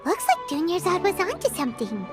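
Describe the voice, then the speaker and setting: A woman voicing a tiny, excitable female companion creature in a video game. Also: extremely high pitch, fairly quick, exaggerated voice. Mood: excited. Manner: excitable, refers to herself by name. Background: game background music.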